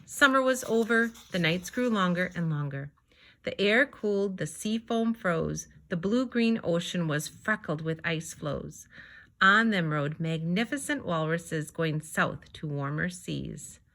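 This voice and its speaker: Slow, bright-voiced woman reading a storybook. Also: on the low side, expressive. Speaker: storybook reader. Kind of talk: expressive children's read-aloud narration.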